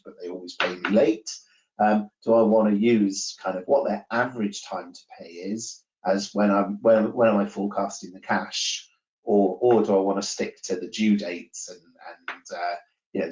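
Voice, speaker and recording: Man, lowish voice, software demonstrator, roomy distant recording, halting self-correcting delivery. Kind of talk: informal screen-share software walkthrough. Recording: roomy, distant, muffled microphone.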